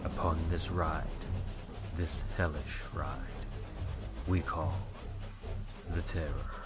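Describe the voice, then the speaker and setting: A man playing an ominous train conductor, narrating in a measured, theatrical old-time radio horror style. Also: a bass voice, steady tone, heavy background noise. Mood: menacing. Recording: dull lo-fi sound under music.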